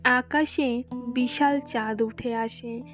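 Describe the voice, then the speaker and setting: A woman narrates a scripted fairy tale. Her voice is high and her delivery slow and measured, with music clearly playing underneath. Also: steady tone.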